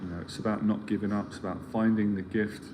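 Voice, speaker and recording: Earnest low-voiced middle-aged man mentoring. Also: flat delivery, quick pace. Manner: earnest, rambling, repeats himself while searching for words. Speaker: life mentor. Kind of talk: motivational live stream talk. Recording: clear background ambience noise.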